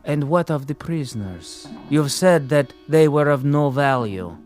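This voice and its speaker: Grave, implacable ghostly accuser, music behind. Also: male voice, on the high side, lively intonation, a little mellow. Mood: stern, condemning. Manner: measured, grave, coldly ironic, formal. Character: solemn, accusing ghost of murdered male camp prisoner, implacable. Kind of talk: radio drama acting.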